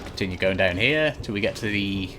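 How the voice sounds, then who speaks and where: A man's voice: fairly low pitch, animated, brisk. A man giving a casual, matter-of-fact walkthrough of a video game, explaining and reassuring as he goes. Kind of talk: casual instructional gameplay commentary. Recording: clearly noisy home recording.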